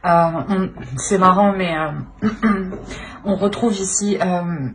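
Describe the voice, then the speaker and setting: A woman giving an informal tarot reading, speaking haltingly as she searches for words and often clears her throat. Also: medium pitch, steady tone, a little hiss or hum. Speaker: tarot reader.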